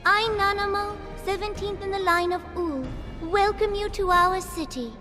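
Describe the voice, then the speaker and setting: A woman's voice: very high voice, normal speed, long reverb tail, over music. A woman voicing a young, regal girl ruler who speaks formally and graciously.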